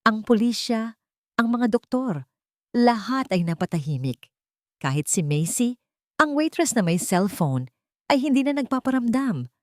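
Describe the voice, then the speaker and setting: A woman narrating a scripted romantic drama in deliberate, dramatic short phrases. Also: fairly high pitch, big pitch swings, dry recording, studio quality. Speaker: story narrator.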